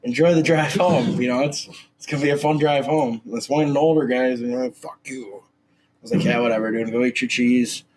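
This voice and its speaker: A man's voice: medium pitch, steady tone, a little fast. A man in his twenties co-hosting a casual sports podcast, his voice a little scratchy, talking brashly with lots of fillers and swearing. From Minnesota. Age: 20s. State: slightly scratchy voice. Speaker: podcast co-host.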